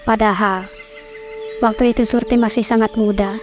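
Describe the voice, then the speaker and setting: A woman doing a calm old village maid. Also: on the high side, normal speed, soft background music, close to an everyday voice. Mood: guarded. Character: older village woman servant, calm and guarded.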